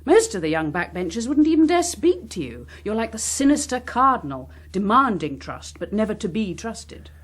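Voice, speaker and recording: Biting, sardonic, husky woman. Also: mid-range voice, very animated, moderate pace, few pauses. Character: bitter, proud, defiant young woman in Westminster. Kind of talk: naturalistic political drama dialogue.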